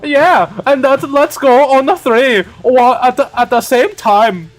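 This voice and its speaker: A man voicing an earnest, excitable young man who gets flustered, in a strained, emphatic voice with an attempted German accent, for a game. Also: slow pace. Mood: nervous, determined. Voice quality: strained, high-pitched.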